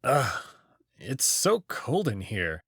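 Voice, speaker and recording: A young man as a visual novel hero, played by a male reader. He sounds informal, wary and questioning, with animated pitch and a dry, close sound. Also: takes it slowly, husky, dry recording, exaggerated voice. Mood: grumbling. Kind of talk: visual novel character reading.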